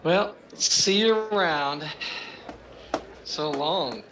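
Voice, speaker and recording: A man playing a smug young show-off with halting delivery in an old-time radio comedy. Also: a little high, fairly bright tone, low-quality recording. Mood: breezy, smug.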